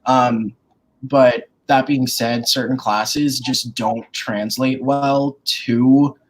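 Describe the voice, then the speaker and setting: A man of twenty from New York, a dance student on a panel, speaking in a slow, measured way. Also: mid-range voice, steady tone, low-quality recording. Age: 20s. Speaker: college student guest.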